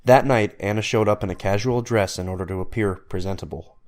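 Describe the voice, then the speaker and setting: A man's voice: lowish voice, fairly quick, darkish tone. A man reading scripted story narration as the narrator.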